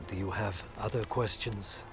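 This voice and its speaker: An actor playing a suave, middle-aged imperial ambassador in a video game, formal, measured and insinuating. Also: male voice, on the low side, steady tone, lightly acted. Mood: politely probing. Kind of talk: video game dialogue acting. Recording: music behind the voice.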